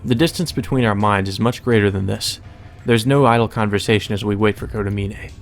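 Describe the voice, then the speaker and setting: A man's voice: fairly low pitch, brisk. A man reading visual novel narration aloud as a let's play, mixed with casual, sweary commentary.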